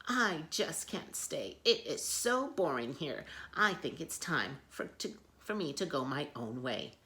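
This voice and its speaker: A woman's voice: low-pitched, very animated, a little slow, bright, forceful tone, heavily acted. A woman voicing a sad, lonely cow in a storybook read-aloud, in a plaintive, pleading voice. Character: sad, lonely female cow seeking a home.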